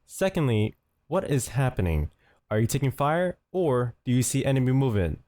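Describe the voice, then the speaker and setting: Man narrating a tutorial, lively pitch, dry. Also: normal speed, no room sound. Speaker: tutorial host. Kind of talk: scripted instructional narration.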